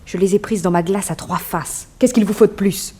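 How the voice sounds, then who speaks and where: An actress playing a hard-bitten young model mixed up in crime in a 1960s French radio drama, curt and distrustful. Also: female voice, medium pitch, moderate pace, warm tone, natural voice. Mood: impatient, distrustful. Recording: faintly noisy vintage radio recording.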